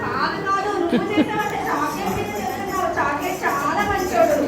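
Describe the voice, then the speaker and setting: A woman's voice: very high-pitched, brisk, over loud music. A woman hosting a children's puppet show, questioning and exclaiming playfully and repeating phrases for the children. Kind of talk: playful interactive storytelling for children. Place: large hall with audience of children. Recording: very echoey noisy hall, distant, crowd.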